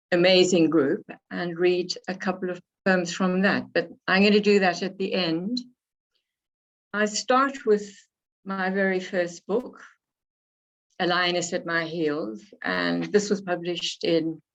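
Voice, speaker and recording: A woman from Africa, slightly hoarse from a cold, reading her poems and introducing them in a reflective, unhurried way. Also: fairly low pitch. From South Africa. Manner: unhurried, reflective, pausing between phrases. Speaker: poet. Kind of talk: poetry reading with spoken introductions. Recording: dull lo-fi recording.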